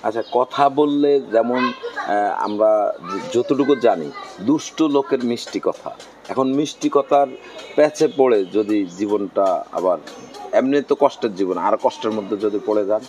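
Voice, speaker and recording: A man's voice: medium pitch, moderate pace, darkish tone, a little hiss or hum. A man with a Bangladeshi accent interviewing someone casually, probing and repeating answers back as questions. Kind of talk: casual on-camera street-style interview.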